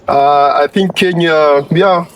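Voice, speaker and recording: A young male student answering slowly and hesitantly, with many fillers and restarts. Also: fairly high pitch, crowd noise. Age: young adult. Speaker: international student.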